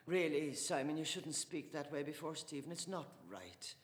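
A man's voice: a little high, expressive, moderate pace, voice pushed up, natural voice. A man voicing a weary, pleading middle-aged mother, with an echoey room and a long reverb.

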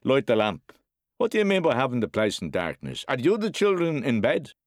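A man's voice: medium pitch, huge pitch swings, a little fast, dry recording, clean studio sound, pitched above the performer's own voice. A man voicing a furious, bullying, drunken father in an audiobook, bellowing and menacing. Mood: angry. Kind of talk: dramatised audiobook character acting.

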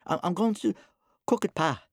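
A man's voice: high voice, expressive, normal speed, no room sound, studio quality, pitched above the performer's own voice. A man voicing a frightened, timid little boy in an audiobook, quavering and pleading. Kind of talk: dramatised audiobook character acting.

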